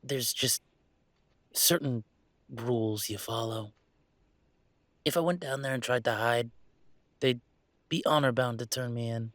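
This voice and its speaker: Very dry, close sound with a young man's conversational voice at a middling pitch. A male actor plays a restless werewolf heir in an audio roleplay, rambling to a friend. He is wry and a little wistful. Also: a little slow, pauses often, fairly bright tone, no room sound, natural voice. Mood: troubled, resigned. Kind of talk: intimate audio roleplay acting, one side of a conversation.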